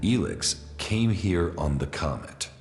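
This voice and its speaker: An actor playing a grim, emotionally detached former commander in a video game, speaking in a terse deadpan with a gravelly voice. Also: male voice, deep voice, slow pace. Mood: guarded, questioning. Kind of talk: video game cutscene acting. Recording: echoey reverb, music behind.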